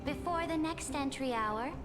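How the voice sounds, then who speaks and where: An actress playing an imperious, composed woman emperor in a video game, regal and commanding, with deliberate delivery. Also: sits high, natural voice. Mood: cold, commanding. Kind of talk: dramatic video game voice acting. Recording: game dialogue under loud music.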